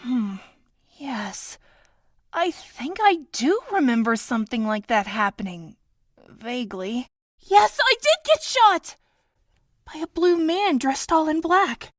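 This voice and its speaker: A young woman playing an excitable, earnest young detective in a video game fan dub, with expressive, peppy delivery. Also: sits high, slow, exaggerated voice. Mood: pensive.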